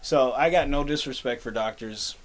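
A man's voice: mid-range voice, moderate pace, a little hiss or hum. A young man, sick and coughing, co-hosting a casual podcast and cracking jokes with a laugh. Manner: joking, laughs often. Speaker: podcast co-host. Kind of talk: casual chat.

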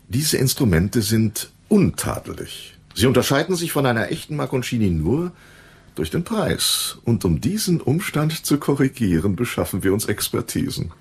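An actor playing a suave, evasive violin dealer in a German radio crime drama, speaking deliberately with a husky voice. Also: male voice, low-pitched, exaggerated voice. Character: smooth, self-assured shady violin dealer, adult man.

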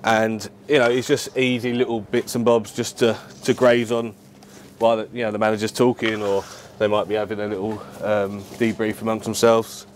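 A man's voice: on the low side, flat delivery, normal speed, slight background noise. A man, a chef explaining his work casually and matter-of-factly to camera. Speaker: head chef at a football club. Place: indoors, club kitchen and dressing room.

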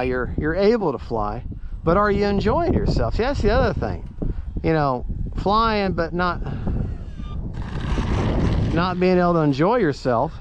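A man giving casual, rambling, folksy running commentary as he flies a model plane outdoors, repeating himself as he goes. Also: a little high. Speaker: RC plane pilot and vlogger. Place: outdoors at an open model airfield. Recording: muffled outdoor recording, loud wind noise.

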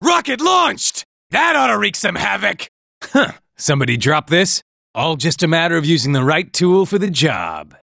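A man's voice: fairly high pitch, sing-song, normal speed, gravelly, pushed and bright, no echo, full character voice. An actor playing a vain, boastful male robot soldier who taunts enemies and admires his own looks, in a video game. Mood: urgent shouting, then pleased, smug. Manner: smug, self-admiring, taunting. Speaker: game character. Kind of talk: video game combat barks. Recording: dry studio recording.

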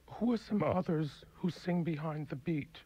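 A man hosting an opera radio program, asking precise, probing questions. His voice is husky and sits at a middle pitch, heard through a dull, lo-fi 1980s radio tape. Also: normal speed, mellow. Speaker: radio host. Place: radio studio.

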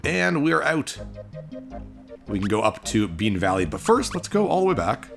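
A man's voice: lowish voice, big pitch swings, normal speed, vocal fry. A man giving casual, chatty playthrough commentary on a video game in a playful way. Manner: chatty, playful, reads game text aloud in exaggerated tones. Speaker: gaming video host. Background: video game music.

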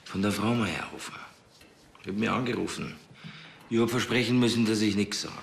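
An actor playing a strict, self-important au pair agency boss in a TV crime drama, speaking formally. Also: male voice, fairly low pitch, brisk, creaky, echoey room, slight background noise. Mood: guarded.

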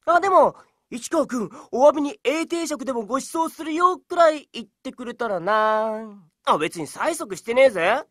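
Boisterous cute schoolboy, high voice, animated, teasing, boyish, close dry audio drama sound. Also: female voice, moderate pace, no echo. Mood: cheeky, teasing. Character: cheeky, playful younger schoolboy, boisterous and cute. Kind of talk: Japanese audio drama acting.

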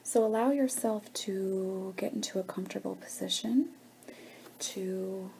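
A woman leading a guided meditation in a gentle, hushed, soothing manner with pauses between phrases. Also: medium pitch, gravelly, noisy background.

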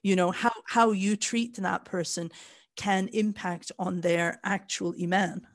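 Woman, Canadian accent, podcast co-host, thoughtful casual talk, medium pitch. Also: steady tone, unhurried. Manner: thoughtful, talks in long explanatory sentences with fillers.

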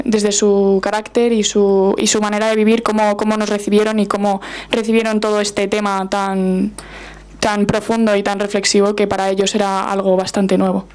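A woman's voice: medium pitch, clean tone. A young woman moderating a panel, speaking off the cuff with many fillers and repeats at a desk microphone. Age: young adult. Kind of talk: casual spoken remarks. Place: university auditorium panel.

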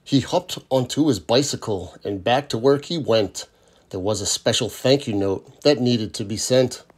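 A man reading a children's picture book aloud in an expressive storytelling style. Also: mid-range voice, normal speed, slightly rough.

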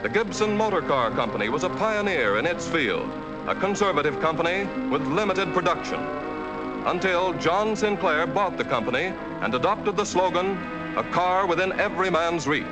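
Man, 1940s newsreel narrator, brisk declamatory tone, high voice, loud music underneath. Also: lively intonation, poor audio. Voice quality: brisk, declamatory announcer delivery. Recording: old film soundtrack under loud music.

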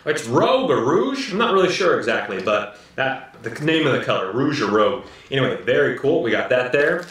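A man in his thirties, slightly hoarse, casually reviewing and unboxing a gadget at home in an upbeat manner. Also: mid-range voice, big pitch swings, fairly quick, hardly any pauses, fairly bright tone. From United States. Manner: upbeat, repeats "very, very cool, guys". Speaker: product reviewer. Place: living room at home. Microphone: camera mic from a short distance. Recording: echoey room, slightly distant mic.

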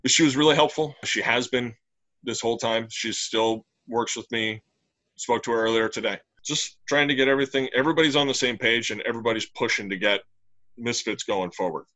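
A man's voice: mid-range voice, fairly quick, pushed and bright, poor audio. A middle-aged man, a brewery owner, talking casually and in plain detail about his plans over a video call from a small room. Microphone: webcam on a video call.

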